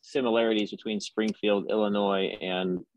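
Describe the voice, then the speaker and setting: A panelist explaining in a measured, mildly wry way on a video call. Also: male voice, lowish voice, fairly even intonation, no echo, lo-fi sound. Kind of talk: casual explanation.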